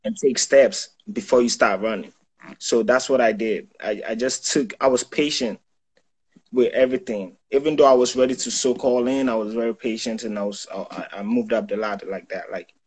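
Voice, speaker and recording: A man's voice: mid-range voice, fairly even intonation, moderate pace, a little mellow, breathy edge, low-quality recording. A young man from Ghana, a self-taught photographer and videographer, talking casually on a video call and peppering his speech with "yo" and "you know what I'm saying". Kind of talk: casual interview chat. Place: indoors, small room.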